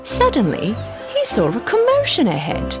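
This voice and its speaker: Casting: female children's story narrator, hugely expressive pitch, medium register, music bed. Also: normal speed.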